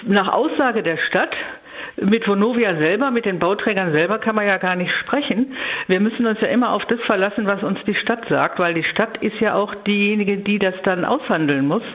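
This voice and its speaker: A woman giving a spontaneous political interview as a local council leader, speaking in a measured, emphatic way with careful wording. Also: mid-range voice. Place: phone call to a radio programme. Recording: narrow-band phone line.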